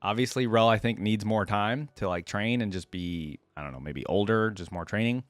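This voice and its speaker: A man's voice: lowish voice, moderate pace, dry recording. A man hosting a reaction video, reading lore aloud and adding informal, conversational commentary.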